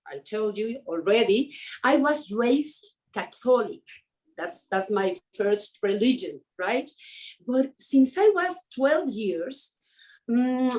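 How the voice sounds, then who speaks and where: A woman, speaking English as an as an interview guest, often pausing and restarting to find her words. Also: mid-range voice, rough recording. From Mexico. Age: 50s. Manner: hesitant, searching for words with many restarts. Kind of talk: conversational interview.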